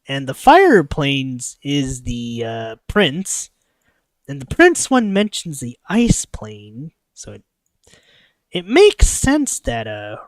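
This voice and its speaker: An expressive streamer reading a visual novel aloud and breaking off into chatty, rambling asides. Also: medium pitch, no room sound. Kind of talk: live playthrough, narration with character voices and asides.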